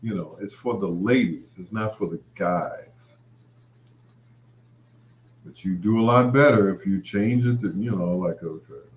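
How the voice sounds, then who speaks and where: A man's voice: deep voice, measured pace, pauses often. A man hosting a late-night show, talking in a casual, rambling, conversational monologue with anecdotes. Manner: conversational, anecdotal, quoting past exchanges. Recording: roomy, echoing sound.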